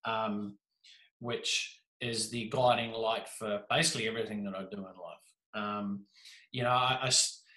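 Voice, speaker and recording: Give me an animated, jokey New Zealand man in his thirties, bright-toned and echoey. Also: lowish voice, unhurried. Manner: animated, gestures a lot, jokey. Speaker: podcast guest. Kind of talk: casual storytelling. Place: small room indoors. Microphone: video call with desk mic. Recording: echoey room.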